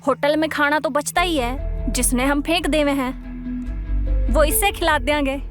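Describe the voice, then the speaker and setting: Girl playing stuck up haryanvi ex, high pitched, mock sweet, loud music under it. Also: normal speed, lightly acted. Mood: mock pity. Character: snobbish, mocking young ex-girlfriend. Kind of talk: audio drama acting.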